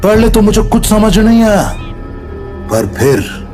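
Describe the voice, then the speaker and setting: A man's voice: sits high, big pitch swings, slow pace, warm tone, faint music behind, heavily acted. A man playing a sly, fearless village man with a taunting manner, in a horror audio drama. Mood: knowing, grave.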